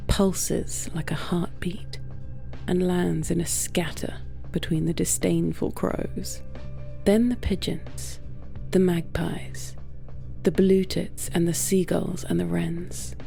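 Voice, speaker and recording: A woman storyteller with a low, creaky, mellow voice reads literary fiction very slowly. Her delivery is hushed and measured, with dramatic pauses, over faint music. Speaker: storyteller, narrator. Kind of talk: scripted literary story narration.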